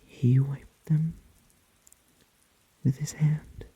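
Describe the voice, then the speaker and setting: Very slow, breathy, whispering woman storyteller. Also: sits low, level tone. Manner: hushed, drawn-out phrases with long pauses. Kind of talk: ASMR bedtime story narration. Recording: muffled sound with rain behind. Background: soft rain.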